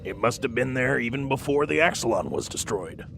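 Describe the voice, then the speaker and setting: A man playing a level-headed, analytical robot engineer in an audio drama, speaking in a measured, matter-of-fact way. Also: medium pitch, lively intonation, gravelly, background noise. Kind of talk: audio drama character acting.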